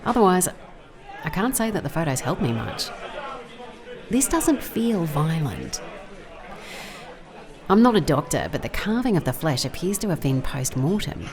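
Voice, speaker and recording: A woman's voice: low-pitched, sing-song, unhurried, pauses often, a crowd in the background, heavily acted. A woman playing a wry, methodical private investigator in an audio drama, musing and dictating case notes in a dry manner. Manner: dry, musing, clipped note-taking. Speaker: lead actor in audio drama. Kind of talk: first-person audio drama case log.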